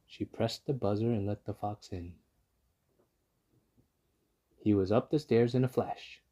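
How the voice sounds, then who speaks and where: A man's voice: lowish voice, unhurried, mellow. A man narrating a children's story from a book, as a read-aloud. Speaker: storybook reader.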